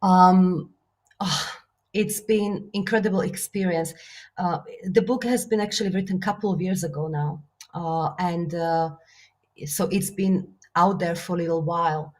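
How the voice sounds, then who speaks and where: A woman from Slovakia speaks with a Slovak accent, her voice on the low side. She answers interview questions earnestly and reflectively. Her talk is full of small fillers and restarts. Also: unhurried. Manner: earnest, reflective, many fillers, laughs softly. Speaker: guest, business author and coach.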